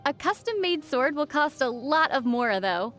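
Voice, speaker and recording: A woman playing a young female adventurer in a video game, gentle and playfully wry in manner. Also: high-pitched, big pitch swings, normal speed. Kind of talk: game voice acting. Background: faint background music.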